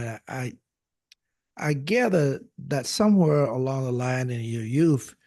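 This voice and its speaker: Man, podcast host, slow and halting, gravelly, dry close sound. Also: mid-range voice, darkish tone, dry recording. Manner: halting, many fillers and restarts. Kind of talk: interview.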